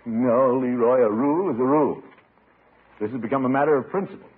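An actor playing a pompous but soft-hearted middle-aged uncle, theatrical and chuckling, in 1940s radio comedy style on an old broadcast. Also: male voice, mid-range voice, brisk, breathy edge, phone-quality sound, close to an everyday voice. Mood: stern.

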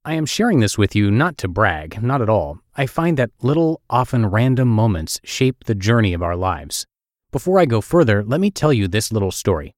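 A man's voice: fairly low pitch, very animated, moderate pace, no room sound, studio quality. Wry Russian-accented man reading an essay. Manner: wry, self-deprecating storytelling. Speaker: writer reading his own post. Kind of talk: read-aloud essay narration.

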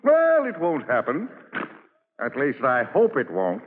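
Pompous old-time stage manager type, florid n dramatic, slow n swoopy, tinny radio sound. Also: male voice, mid-range voice, wildly swooping pitch. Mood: resigned. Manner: florid, theatrical, drawn-out delivery. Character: pompous, exasperated male theatrical actor-manager. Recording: echoey, tinny, hissy old radio broadcast.

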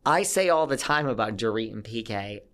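A man's voice: lowish voice, animated, brisk, a bit bright. A man from Connecticut, a podcast guest, telling gossip stories in a chatty, emphatic way. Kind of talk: casual gossip chat.

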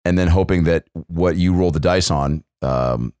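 Young male comic co-host, deep voice, loose riffing banter, dry mic. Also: moderate pace, a little mellow, clean tone, no echo. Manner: riffing, tangents, acts out bits with swearing. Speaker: comedian podcast co-host. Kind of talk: casual podcast banter with comic riffs.

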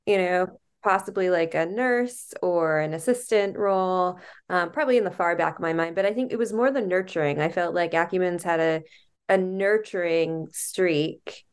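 A woman's voice: fairly low pitch, normal speed, clean tone. A woman co-hosting a book podcast who talks in a thoughtful, meandering, self-aware way. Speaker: podcast co-host. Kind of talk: casual book discussion.

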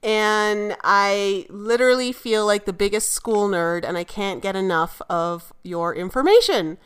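A woman's voice: medium pitch, a little slow, fairly bright tone, clean tone. A woman co-hosting a podcast, introducing the episode and her guest in a bubbly, upbeat way and laughing easily. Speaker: podcast co-host.

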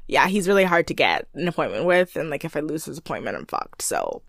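A woman's voice: low voice, very animated, fast, gravelly, a bit bright, no echo, clean studio sound. A woman co-hosting a podcast, casually recapping a reality show and filling her talk with "like" and laughter. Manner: chatty, lots of "like" and laughs. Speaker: podcast co-host.

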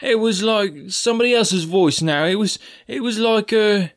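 A man voicing a nervous, superstitious male pirate crewman who speaks excitedly in rough sailor talk. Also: sits high, moderate pace, voice pushed up. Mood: relieved.

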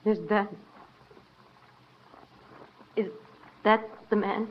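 A woman's voice: medium pitch, level tone, very slow. A woman playing a guarded, indignant widow, pained and defensive, in an old-time radio drama. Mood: distressed, pleading. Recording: muffled, noisy vintage radio recording.

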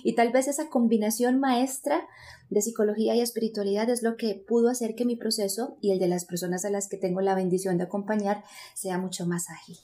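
A woman's voice: medium pitch, fairly even intonation, fairly quick, warm tone. A woman with a Colombian accent, speaking as an interview guest in an earnest, reflective and motivational way, explaining things. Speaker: interview guest, spiritual coach.

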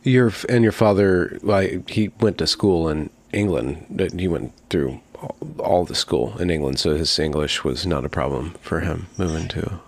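A man's voice: low-pitched, normal speed, few pauses, darkish tone. A man hosting a podcast interview, asking curious, short follow-up questions. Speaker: podcast host. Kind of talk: casual interview.

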